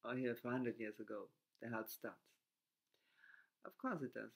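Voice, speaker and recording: A man from Germany with a German accent, speaking haltingly in a reflective monologue with frequent restarts and broken grammar. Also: medium pitch, gravelly.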